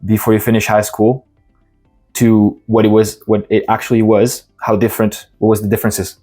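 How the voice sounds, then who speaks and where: Male host, low flat voice, halting questions, faint music behind. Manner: halting, rephrases questions, many uhs. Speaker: interviewer. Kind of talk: interview questions.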